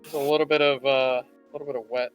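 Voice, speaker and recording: A man's voice: mid-range voice, flat delivery, fast talker, faint music behind, low-quality recording. A man hosting a casual live painting tutorial, thinking aloud and rambling as he works. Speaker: livestream host teaching miniature painting.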